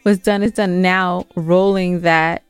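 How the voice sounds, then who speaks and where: A woman's voice: on the low side, fairly even intonation, slow, smooth, dry recording. A woman co-hosts a casual podcast and likes to tease. Her talk is loose and conversational. The sound is dry, with faint music underneath. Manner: teasing. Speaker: podcast co-host. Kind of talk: casual banter with a co-host.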